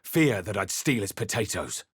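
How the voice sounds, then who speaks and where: A man voicing a brooding adventurer hero in a video game, delivering measured, theatrical lines with grave weight. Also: fairly low pitch, sing-song, husky, no room sound, clean studio sound, exaggerated voice. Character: brooding male fantasy adventurer hero, adult, narrating and spellcasting. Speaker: player-character voice actor.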